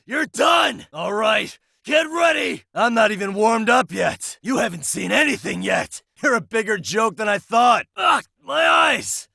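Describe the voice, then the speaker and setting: Wry American detective, husky, animated pitch. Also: male voice, unhurried, hardly any pauses, dry recording, raised voice, studio quality, heavily acted. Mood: combative, shouting. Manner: casual, sardonic muttering with frequent sighs. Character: wry, streetwise male private detective, adult. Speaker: video game voice actor. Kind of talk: video game voice lines, short barks and muttered asides.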